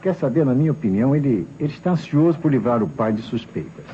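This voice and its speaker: An eager, respectful police assistant played by a man in an old Brazilian radio mystery. He reasons aloud with a lively, hesitant delivery. The sound is muffled and old, with a faint hiss of noise. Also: mid-range voice, fast, natural voice. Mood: earnest, reporting. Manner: deferential, hesitant, thinking aloud. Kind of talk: old Brazilian radio crime drama acting.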